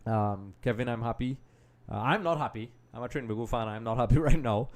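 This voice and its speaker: A man's voice: mid-range voice, fairly quick, darkish tone. A man from Trinidad and Tobago hosts a live football watch-along, reading viewers' comments and analysing the match in a chatty, analytical way, often saying "um" and addressing "folks.". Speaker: livestream host.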